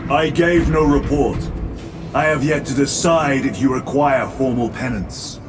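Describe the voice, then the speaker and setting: An actor playing a stoic, resolute veteran space marine lieutenant with a clipped, commanding way of speaking in a video game. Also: male voice, mid-range voice, unhurried, over music, close to an everyday voice. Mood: guarded, grave.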